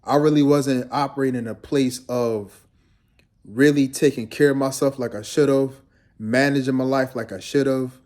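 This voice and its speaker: A man's voice: medium pitch, steady tone, moderate pace, darkish tone. A young man from Guyana, a fitness trainer, talking casually and rambling to his viewers in a laid-back vlog.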